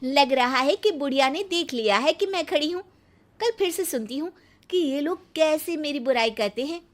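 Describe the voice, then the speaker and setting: A woman playing a suspicious, sharp-tongued young daughter-in-law in a family moral story, sweet to elders and snide in asides. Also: high voice, expressive, moderate pace, voice pushed up. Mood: snide, resentful.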